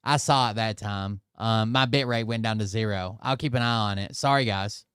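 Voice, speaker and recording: A man's voice: fairly low pitch, normal speed, fairly bright tone, smooth, no echo. A man giving casual, blunt and profane commentary during a live stream.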